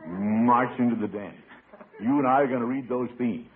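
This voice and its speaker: An actor playing a fussy, pompous middle-aged American uncle, exasperated and blustering, in old radio comedy style. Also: male voice, mid-range voice, normal speed, background noise, natural voice. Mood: stern. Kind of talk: 1940s-50s radio comedy acting. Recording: muffled vintage radio broadcast recording.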